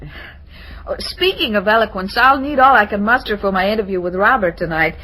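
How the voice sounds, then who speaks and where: A coquettish, self-assured young woman in vintage radio comedy. Her tone is bright and very animated, full of daddy's-darling charm and vanity. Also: medium pitch, moderate pace, poor audio. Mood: sweet, gushing. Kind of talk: old radio comedy-drama acting.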